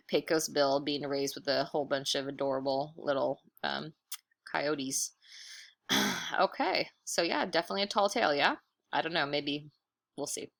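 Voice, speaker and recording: A woman reads a children's book aloud in a bright, slightly low voice. She is expressive and encouraging, like a teacher. She often steps out of the story to explain a word or picture in a chatty way. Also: gravelly. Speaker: teacher reading aloud to pupils.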